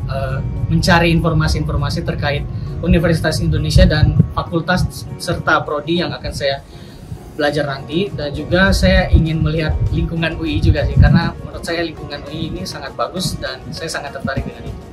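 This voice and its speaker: A man's voice: on the high side, fairly even intonation, fairly quick, poor audio. A young man from Papua, an incoming university student, answering interview questions politely and formally. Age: young adult. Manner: polite, formal, soft-spoken. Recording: loud music bed under voice.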